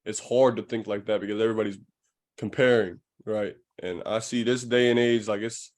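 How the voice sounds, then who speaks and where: Low-voiced man hosting casually. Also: steady tone, fairly quick, darkish tone. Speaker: podcast host. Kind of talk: casual chat.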